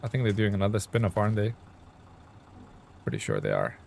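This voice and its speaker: A man's voice: on the low side, sing-song, fairly quick, creaky, darkish tone, slight background noise. A man from Lithuania chatting loosely on a live game stream, reading out and answering viewer comments with plenty of fillers. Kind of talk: casual live-stream chat.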